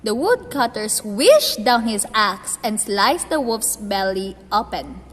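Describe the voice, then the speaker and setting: A woman's voice: mid-range voice, sing-song, takes it slowly. A woman narrating a fairy tale as a storyteller in a scripted radio play. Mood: expressive. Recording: long reverb, faint noise.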